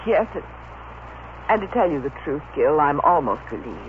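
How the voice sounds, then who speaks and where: Romantic radio heroine: refined, earnest, emotional, slightly lo-fi vintage broadcast sound. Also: female voice, low voice, big pitch swings, normal speed. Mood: earnest, pleading. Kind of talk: old-time radio soap opera acting. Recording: dull, noisy vintage radio recording.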